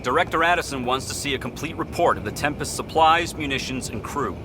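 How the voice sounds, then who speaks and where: An officious space station official from a video game, played by a man, middle-aged and soft-hearted underneath. His voice sits at an average pitch amid clear background noise. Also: lively intonation, fairly quick, close to an everyday voice. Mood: officious, stern.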